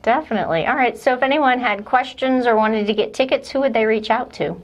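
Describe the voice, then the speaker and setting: A woman's voice: on the high side, a little fast. A woman hosting a casual local interview, asking a guest questions in conversational speech. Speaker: interview host.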